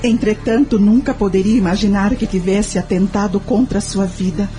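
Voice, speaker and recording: An actress with a Brazilian accent playing a kind young mother in an audio drama, with a soft, sincere manner. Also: medium pitch, fairly even intonation, moderate pace, close to an everyday voice. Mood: curious, shocked. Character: kind, grateful young mother, curious friend. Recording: music behind the voice.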